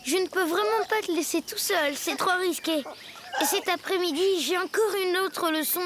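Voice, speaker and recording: Very high-pitched eager little jungle boy. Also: moderate pace, background noise. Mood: worried. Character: eager young jungle boy, friendly, a bit reckless. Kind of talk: animated cartoon dub acting. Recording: loud music behind.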